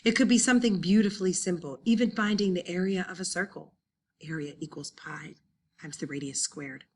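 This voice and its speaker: A woman reading a first-person children's novel as an expressive, dramatic audiobook narrator. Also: on the low side, fairly quick. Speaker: audiobook reader.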